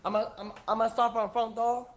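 A young man carries his phone from room to room, showing his house to a livestream audience. He speaks in halting, broken phrases and repeats his words as he goes. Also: very high voice, flat delivery. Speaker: streamer. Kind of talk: casual livestream house tour. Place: house rooms, walking with phone.

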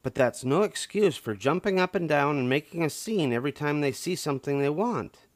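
A man's voice: a little high, expressive, a little fast, dry recording, pitched above the performer's own voice, close to an everyday voice. A man voicing a stern, exasperated mother bear in a storybook, with a firm scolding manner.

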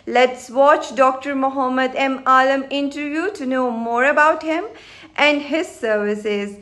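A woman's voice: high voice, unhurried, a bit bright. A woman presenter in a news studio, formally reading a scripted introduction. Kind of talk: scripted announcement.

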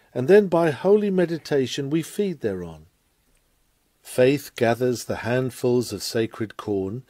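A man reads a scripted sermon aloud in a measured, formal and emphatic oratorical manner. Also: fairly high pitch, mellow, dry recording. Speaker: reader of a sermon. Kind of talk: expressive sermon reading, scripted narration.

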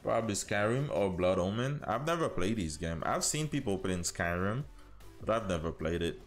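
A man's voice: on the low side, animated, moderate pace, faint music behind. A man in his thirties from Haiti with a mixed Haitian, Caribbean and American accent, chatting casually and in a friendly way with viewers as he streams a game. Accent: Haitian with Caribbean and American influences. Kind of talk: casual livestream chat with viewers over gameplay.

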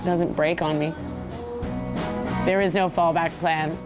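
A young woman singer with a naturally raspy voice talks casually about her music in a rehearsal space. Her tone is relaxed and unpolished, like a musician between takes. Loud guitar and band music fill the background. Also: low-pitched, slow pace. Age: young adult. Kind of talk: casual documentary interview.

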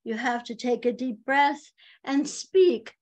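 A woman in her fifties, a communication coach, giving chatty, animated speaking advice with a high voice over a video call from home. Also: slow. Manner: chatty and animated, laughs often. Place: home room, indoors. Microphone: video call webcam.

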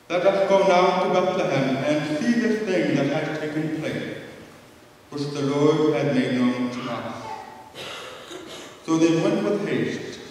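Slow-speaking priest in an echoey church. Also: male voice, fairly high pitch, a little mellow, slight background noise. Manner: slow, measured, partly chanted proclamation. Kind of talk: gospel reading and homily at Mass. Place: large church sanctuary. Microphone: far camera in the congregation. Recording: echoey church heard from far off.

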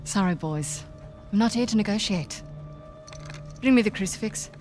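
A woman's voice: medium pitch, animated, normal speed, music in the background, natural voice. An actress playing a menacing, commanding female villain in a video game, curt and imperious. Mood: cold, commanding. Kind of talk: cinematic video game acting.

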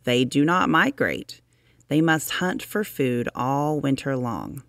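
A narrator reading a children's science book aloud, deliberately and expressively, with drawn-out emphasis for young listeners. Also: mid-range voice, lively intonation, creaky, fairly bright tone, dry recording, studio quality.

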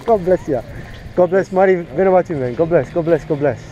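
A man preaching scripture outdoors to a passer-by, earnest and quick to pray in tongues, recorded on a chest-worn camera. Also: a little high, mellow, muffled recording. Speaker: street preacher. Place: outdoors on a street beside a shopping centre car park. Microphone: chest-worn action camera.

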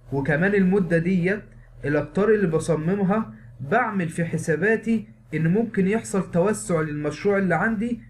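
Higher-pitched Egyptian man lecturing, noisy recording. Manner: asks and answers his own questions; mixes in English technical terms. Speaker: engineering instructor. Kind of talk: explanatory technical lecture. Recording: clearly noisy voice-over recording.

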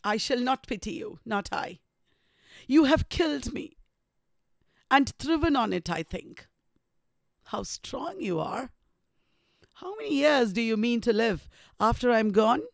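A bitter, dying young heroine of a classic novel, read aloud by a woman, proud and full of reproach. The voice is high and unhurried, dramatically delivered. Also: animated, no echo, clean studio sound. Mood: bitter, reproachful.

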